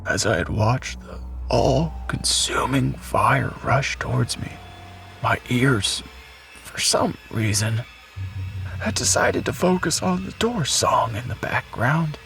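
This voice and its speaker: A man playing a doomed blast survivor recording his last words in an audio drama, raspy, halting and breathy. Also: medium pitch, lively intonation, a bit bright, faint music behind, exaggerated voice. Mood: shocked, dazed. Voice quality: raspy, coughing. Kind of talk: dramatic audio story monologue.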